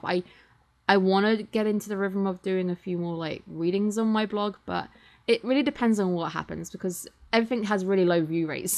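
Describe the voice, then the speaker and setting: A British woman in her twenties, chatting casually and in a rambling, self-deprecating way as she thanks her channel's viewers. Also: mid-range voice. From Britain. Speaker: channel host. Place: home streaming setup. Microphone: computer or webcam mic.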